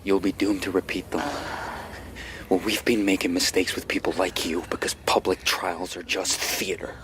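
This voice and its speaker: An actor playing a bitter young avenger in a radio drama, deliberate and menacing, often hushed. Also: male voice, low-pitched. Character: hardened young avenger, bitter, cold and merciless. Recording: faintly noisy radio drama recording.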